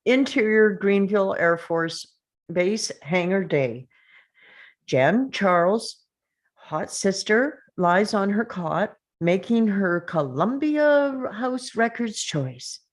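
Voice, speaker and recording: A woman's voice: mid-range voice, lively intonation, slow pace. A woman reading stage directions as the narrator of a screenplay table read.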